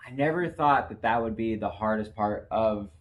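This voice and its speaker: A man co-hosting a casual podcast who talks in a profane, animated way and laughs often. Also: on the low side, normal speed. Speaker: podcast co-host. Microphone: camera facing the hosts.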